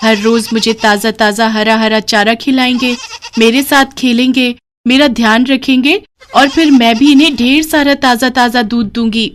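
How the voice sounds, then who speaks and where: Casting: woman to play a conceited cartoon nanny goat, high-voiced, proud and expressive. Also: moderate pace, a little hiss or hum, lightly acted. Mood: boastful.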